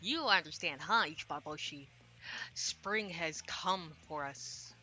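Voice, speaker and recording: A woman's voice: sits low, unhurried, sharp tone, soft background music, rough recording. A woman streaming a visual novel, reading translated dialogue aloud and adding casual, slangy asides. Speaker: game streamer.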